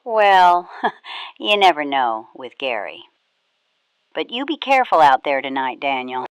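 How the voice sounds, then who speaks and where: A woman's voice: low voice, big pitch swings, unhurried, clear, resonant tone, no echo. A woman playing a friendly, fussy small-town neighbor, chatty and rambling, calling into a radio drama show. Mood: earnest, fussy.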